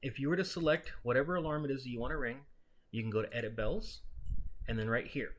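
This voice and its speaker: A man with a medium-pitched voice walks through a piece of software as a tutorial presenter. He explains each step in a conversational, instructional manner, speaking directly and casually to the person following along. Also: fast.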